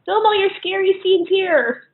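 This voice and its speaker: A woman's voice: extremely high pitch, expressive, measured pace. A woman chatting casually as an interview guest, often laughing as she talks. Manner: giggly, laughs often while talking. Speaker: interview guest, horror filmmaker. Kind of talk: casual chat. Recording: thin, narrow phone-like line.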